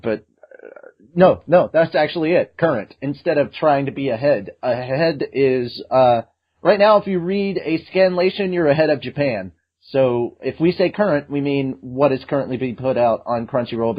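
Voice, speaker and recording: A podcast host leading a casual chat in an excitable, rambling way. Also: medium pitch, muffled recording.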